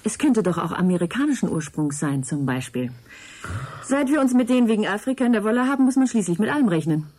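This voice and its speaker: A husky, lively, shrewd woman. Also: mid-range voice, fast. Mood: wry. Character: shrewd, helpful woman working at an information desk. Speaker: actor. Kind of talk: radio drama acting.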